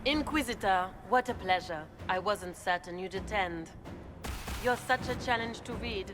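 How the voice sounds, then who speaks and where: Woman acting villainous duchess, haughty, mock-courteous, gloating, ambitious, theatrical game cutscene, loud music behind. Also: medium pitch, moderate pace, lightly acted. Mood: smug.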